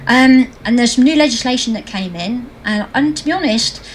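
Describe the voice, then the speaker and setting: A woman's voice: a little high, expressive, normal speed, a little hiss or hum, low-quality recording. Bubbly woman telling stories. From England. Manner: bubbly, anecdotal, laughs easily. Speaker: guest, social media trainer. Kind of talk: interview, storytelling.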